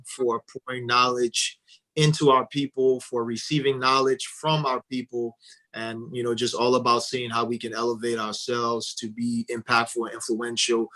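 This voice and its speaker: A man in his thirties, from Liberia, speaks as a guest on a radio interview. He is earnest and measured, slipping in light humor. His voice sits at a middling pitch. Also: fairly bright tone, low-quality recording.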